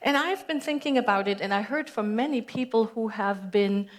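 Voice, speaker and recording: A woman's voice: a little high, normal speed. A woman minister with a German accent, giving a conversational sermon and putting questions to her congregation. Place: church sanctuary.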